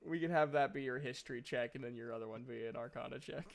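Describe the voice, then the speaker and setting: A man's voice: mid-range voice, quick pace, gravelly. A man casually narrating and bantering as he runs a tabletop roleplaying game.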